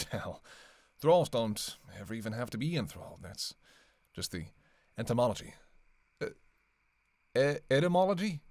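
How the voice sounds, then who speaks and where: A man's voice: medium pitch, darkish tone, no room sound, natural voice. A man playing a dry, sarcastic vampire. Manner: snarky, playful needling with drawn-out hesitations. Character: sarcastic, teasing male vampire on a road trip. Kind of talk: casual roleplay monologue.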